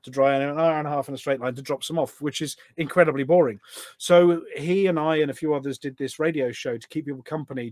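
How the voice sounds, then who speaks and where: A man's voice: mid-range voice, no echo. A man chatting casually as a podcast guest, telling anecdotes in a rambling, talkative way. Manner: chatty and rambling, acts out quoted remarks. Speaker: podcast guest and community radio show presenter.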